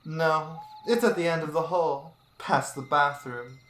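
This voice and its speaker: A woman's voice: deep voice, unhurried, voice pushed up. A woman voicing a menacing, seductive prima donna who gives teasing orders in an amateur dramatic reading. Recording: roomy echo with faint music.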